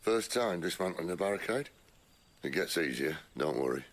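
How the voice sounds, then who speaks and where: A man playing a wry, roguish middle-aged rebel leader in a video game, dry, sardonic and world-weary, with a gravelly voice. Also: deep voice, lively intonation, normal speed. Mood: dry, confident.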